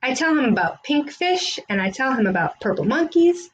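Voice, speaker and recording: Lively high-pitched woman reading a storybook. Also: moderate pace, slight background noise, low-quality recording. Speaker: read-aloud storyteller. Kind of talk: expressive children's picture book reading.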